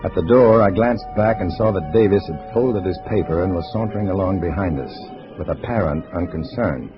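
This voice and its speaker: Hard-boiled American male spy, played by an actor, very low register, clipped storytelling, dull vintage radio sound. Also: brisk, lightly acted. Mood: tense narration. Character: tense undercover agent posing as a communist comrade. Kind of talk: 1950s radio drama acting and first-person narration. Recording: dull old radio recording with music.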